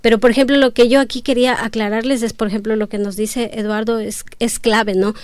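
A woman with a smooth, clear voice pitched a little high speaks as a guest on a panel. She is from Ecuador, her first language is Quichua, and she explains her points earnestly. The sound is dry and close. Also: flat delivery, brisk, no room sound. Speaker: academic guest. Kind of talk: conversational panel discussion.

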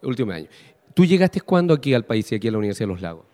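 A man's voice: medium pitch, sing-song, very fast, darkish tone. A Chilean man hosting a radio interview, asking long, probing questions full of fillers. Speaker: radio host. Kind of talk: interviewing a guest.

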